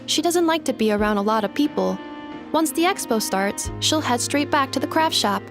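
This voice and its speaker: A high female voice, composed and matter-of-fact for a video game character. Clear music plays behind her. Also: lively intonation, normal speed.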